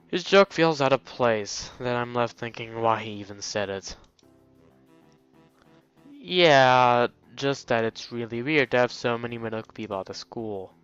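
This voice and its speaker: A man's voice: mid-range voice, a little slow, pauses often, a bit bright, clean tone, dull audio, faint music behind. A man hosting a let's play, reading a visual novel aloud and breaking off into jokey exclamations.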